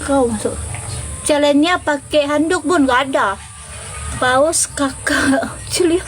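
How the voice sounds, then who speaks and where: A woman's voice: sits high, slow. A woman chatting casually and informally with viewers as the host of a live stream. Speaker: livestream host.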